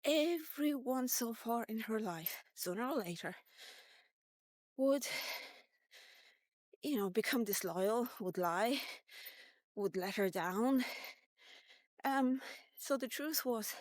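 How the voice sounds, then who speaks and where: A woman in her fifties telling a personal story in a casual, rambling vlog style, halting and informal as she thinks aloud. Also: on the high side, lively intonation, dry recording. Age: 50s. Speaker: vlogger. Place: walk-and-talk recording.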